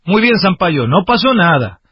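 A radio host putting on a Latin-accented Brazilian referee who halts and mock-officially waves off a penalty. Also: male voice, sits high, big pitch swings, normal speed, breathy edge, no echo, full character voice. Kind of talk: radio banter. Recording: dull muffled radio broadcast.

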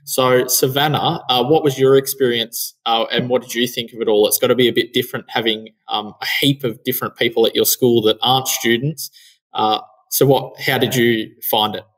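A man's voice: mid-range voice, steady tone, brisk, a bit bright, poor audio. A young man with an Australian accent hosting a casual chat over a video call from an office, reading parts from a script. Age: young adult. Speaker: host. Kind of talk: casual interview with partly read script. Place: office room, indoors. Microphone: headset on video call.

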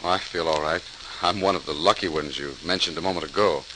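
An actor playing an earnest, inquisitive surgeon of about thirty in an old-time radio drama, polite and thoughtful. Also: male voice, a bass voice, quick pace, pushed and bright, low-quality recording. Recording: old radio recording, faint hiss.

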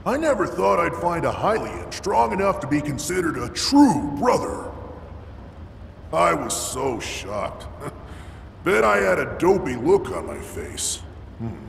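Blustering, chummy rock warrior in a video game: slow, gravelly, reverberant. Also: male voice, on the high side, fairly bright tone, exaggerated voice. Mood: fond, sheepish. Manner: chummy, blustering, backtracks when embarrassed. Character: boisterous, big-hearted male rock-creature warrior, sheepish braggart. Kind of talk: scripted video game character acting. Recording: echoey game playback with long reverb, noisy ambience.

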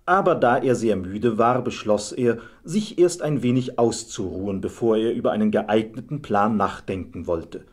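Husky male fairy-tale narrator. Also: lowish voice. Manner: measured storytelling.

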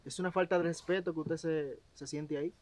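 A young man acting as an arrogant, bossy estate owner who gives sharp, scornful orders on an outdoor terrace. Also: fairly high pitch, steady tone, brisk, slight background noise, natural voice. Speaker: actor. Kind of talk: web drama acting. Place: outdoor terrace in green hills.

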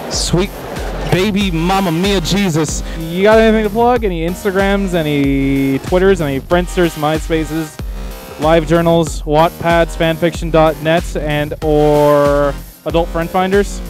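A man's voice: a little high, steady tone, unhurried, soft background music. A young man clowning as a news correspondent, interviewing cosplayers with a handheld mic in a busy convention hall. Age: young adult. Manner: brash, mock-reporter delivery. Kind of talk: comedic on-the-street interviewing.

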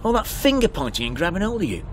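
An actor playing a guarded, sardonic motorist in a naturalistic radio drama, blunt and questioning in manner. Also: male voice, sits high, sing-song, fast, slight background noise. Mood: sardonic, accusing. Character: guarded, sardonic male motorist sheltering a hitch-hiker.

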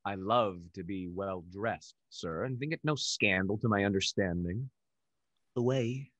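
An actor playing a vain, cynical young bachelor rake in a Restoration stage comedy, haughty and dry, over a video call. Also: male voice, on the low side, unhurried, fairly bright tone, no echo, muffled recording. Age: young adult.

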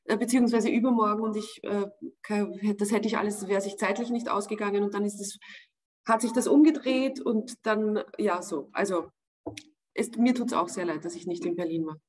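A woman in her forties with an Austrian accent, speaking in a lively, emphatic way as a guest on a video-call interview. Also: mid-range voice, brisk. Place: room at home. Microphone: video call webcam.

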